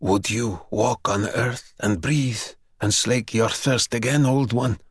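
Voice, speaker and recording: A man's voice: mid-range voice, completely flat delivery, fairly quick, a bit bright, husky, dry recording, studio quality. A man voicing an eager young man who pleads and bargains urgently. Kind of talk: audiobook character voice.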